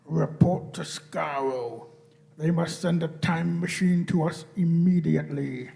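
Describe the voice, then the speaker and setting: A cold alien machine commander played by a man in a sci-fi radio play. The voice is slow, fairly high and gravelly, grating out each imperious order deliberately. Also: warm tone.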